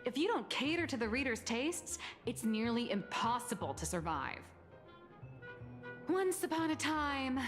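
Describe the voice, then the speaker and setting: A woman voicing a poised female comic artist in a video game, explaining in a measured, lightly wry way. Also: on the high side, a bit bright. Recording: game audio with long reverb and faint music.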